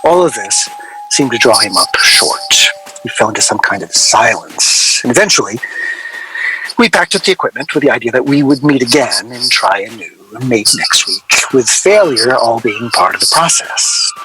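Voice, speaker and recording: An actor playing a wry sound recordist, telling his story in a measured, articulate, deadpan way for a radio drama. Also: medium pitch, close to an everyday voice, low-quality recording. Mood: wry, reflective. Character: wry male sound recordist recalling an obsessive artist's failed project. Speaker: interviewee.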